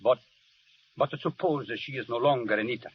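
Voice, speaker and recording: An actor playing an affectionate Italian army friend with an Italian accent and sing-song broken English in an old radio drama. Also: male voice, medium pitch, brisk, close to an everyday voice. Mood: worried. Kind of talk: 1940s radio drama acting. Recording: muffled, noisy vintage radio recording.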